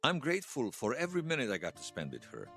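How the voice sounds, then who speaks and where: A man acting the part of a wistful, wry old hero in his seventies, reminiscing haltingly in a radio drama interview. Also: medium pitch, wildly swooping pitch, a bit bright, faint music behind. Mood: tender, subdued. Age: 70s. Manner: halting, reflective, self-deprecating. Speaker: interview guest.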